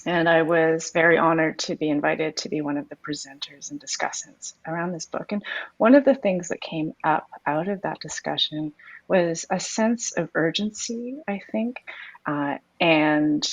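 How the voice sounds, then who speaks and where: Halting low-voiced woman academic. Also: dry recording, rough recording. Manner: halting, repeats words as she starts. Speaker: academic panelist. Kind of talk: panel discussion. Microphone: video call.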